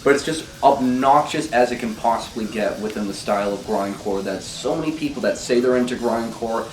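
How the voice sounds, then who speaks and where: A young man with a sore throat talking casually and ramblingly about records he collects, recorded on a low-quality camera mic. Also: a little fast. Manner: laid-back, rambling, swears casually. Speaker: vinyl collection vlogger. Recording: roomy, distant camera mic, faint noise.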